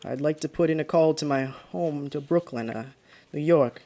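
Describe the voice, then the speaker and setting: An actor playing a frightened, exhausted driver in a radio drama, halting and muttering to himself. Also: male voice, on the high side, dark tone, close to an everyday voice. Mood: anxious, hopeful. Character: frightened, exhausted male driver haunted by a phantom. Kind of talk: radio drama monologue and dialogue.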